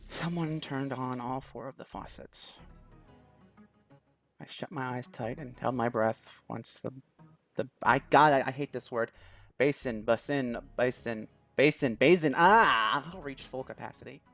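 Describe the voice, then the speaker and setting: A man's voice: mid-range voice, a little slow, a bit bright, faint music behind. A man hosting a let's play, reading a visual novel aloud dramatically and gushing about it between passages.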